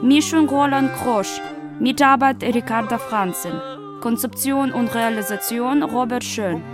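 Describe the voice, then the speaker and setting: A woman announcer reading out the credits of a radio production in a slow, measured way. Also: on the high side. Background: music.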